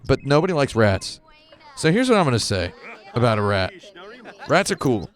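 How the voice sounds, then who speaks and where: A man in his late thirties co-hosting a game stream, spinning absurd jokes in a deadpan way over casual chat. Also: mid-range voice, moderate pace. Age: 30s. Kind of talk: casual joking chat while playing. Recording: music playing behind.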